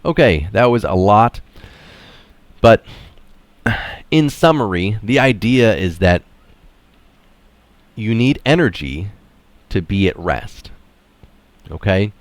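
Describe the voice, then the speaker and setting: A man's voice: fairly low pitch, lively intonation, slow, long pauses, no room sound. A man reading a written article aloud as narrator, breaking off for informal, explanatory asides.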